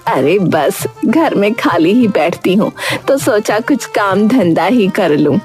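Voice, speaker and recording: A woman's voice: mid-range voice, lively intonation, takes it slowly, darkish tone, faint music behind. A woman voicing a kindly, busy old village grandmother who sells snacks in a cartoon story, folksy and hospitable. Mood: welcoming. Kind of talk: Hindi cartoon story acting.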